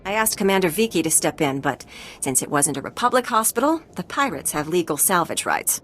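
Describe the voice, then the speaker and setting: An actress playing a dedicated, earnest and wry scientist in a video game, precise and formal, with music behind. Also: female voice, on the low side, big pitch swings, fairly quick, a bit bright. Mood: earnest, conspiratorial.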